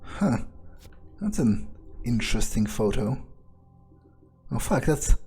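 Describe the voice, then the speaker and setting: A man improvising in-character video game commentary, thinking aloud in a deadpan, callous way with pauses. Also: mid-range voice, breathy edge. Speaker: gameplay player staying in character.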